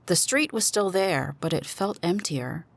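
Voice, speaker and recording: A woman giving a dramatic first-person reading of a suspense story, with deliberate pauses. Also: lowish voice, expressive, gravelly, no echo. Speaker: narrator and performer of a story.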